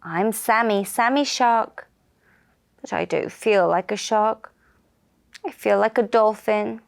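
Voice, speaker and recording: A woman voicing a gentle, lonely male shark in a children's puppet show, soft and shy, speaking in simple, earnest phrases. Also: mid-range voice, takes it slowly, gravelly. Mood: wistful, lonely.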